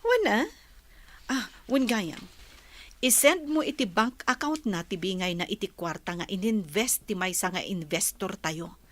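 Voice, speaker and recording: Animated, scheming young wife. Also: female voice, medium pitch, a little slow, dry recording. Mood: conspiratorial. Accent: Ilocano. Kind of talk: radio drama acting.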